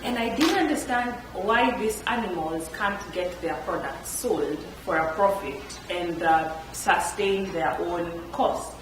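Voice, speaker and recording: A woman's voice: on the low side, a little slow, hardly any pauses. A woman from Kenya, an entrepreneur on a panel telling stories from her life with frequent laughter. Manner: animated, laughs often while telling stories. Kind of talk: storytelling at a panel talk. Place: event room. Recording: echoey room, picked up from far, background noise.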